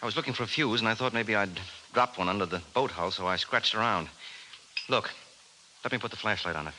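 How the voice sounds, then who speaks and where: Tense, anxious 1950s American husband. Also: male voice, fairly low pitch, bright, forceful tone, rough recording. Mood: alarmed. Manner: urgent, halting, emphatic. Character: anxious, bewildered suburban husband shaken by discoveries. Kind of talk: 1950s radio drama acting. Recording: hissy 1950s radio recording.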